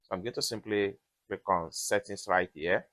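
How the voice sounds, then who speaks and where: A man's voice: sits low, moderate pace, fairly bright tone, no echo. Man narrating a tutorial, dry close sound. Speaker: tutorial host. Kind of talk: step-by-step phone tutorial narration.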